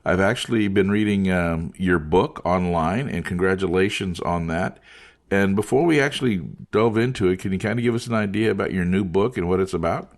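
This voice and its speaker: A man's voice: low voice, fairly quick. A man hosting a podcast interview, talking in a casual, friendly way with small jokes. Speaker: podcast host.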